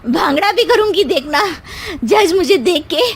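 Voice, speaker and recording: A woman's voice: very high voice, animated, voice pushed up. A woman voicing a boastful, starstruck mother-in-law in a theatrical, brash way for a comic family story. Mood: defiant. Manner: theatrical, brash, breaks into drawn-out singing.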